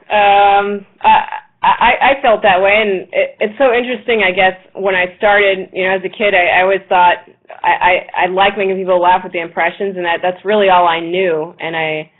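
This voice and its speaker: A woman guest from Los Angeles talks in a halting, modest way, doubling back on her words as she answers. Her voice sits at an ordinary pitch. The sound is thin and tinny, like a call coming over a phone line. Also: flat delivery. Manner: hesitant, repeats words, modest. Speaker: guest comedian. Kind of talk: casual interview chat.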